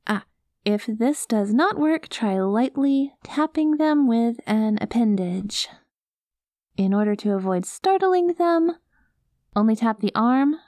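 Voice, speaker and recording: A woman playing a cute, earnest, easily flustered young alien girl in a soft-spoken ASMR roleplay. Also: fairly high pitch, no echo, studio quality. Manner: earnest, flustered, talks to herself. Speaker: audio roleplay performer.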